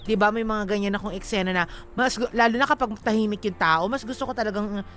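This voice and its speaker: A woman's voice: medium pitch, fast talker, a bit bright, soft background music. A talkative, frank woman with a Filipino accent co-hosting a radio show in casual, slangy banter. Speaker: radio co-host.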